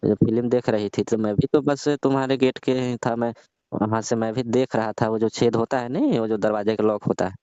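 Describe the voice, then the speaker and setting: Guy playing a young cousin teasing on a call, relaxed dark voice, pushy questions, dry lo-fi sound. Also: medium pitch, fairly quick, clear, resonant tone, no room sound, natural voice. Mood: insistent, teasing. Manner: insistent, probing, casual. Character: young male cousin, probing, teasing, half-reluctant. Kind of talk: casual staged phone-call dialogue. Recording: dull lo-fi call-style audio.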